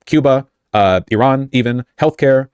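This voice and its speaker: A man's voice: medium pitch, normal speed, a little mellow, clear, resonant tone, no echo. A man giving emphatic, argumentative and sarcastic off-the-cuff political commentary as he reacts to a news report.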